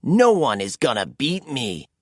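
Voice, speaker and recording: A man's voice: medium pitch, wildly swooping pitch, a little slow, breathy edge, no room sound, clean studio sound, exaggerated voice. A man voicing a cheating, boastful villain monster truck in a children's storybook, with a growling, scheming manner. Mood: menacing, boastful.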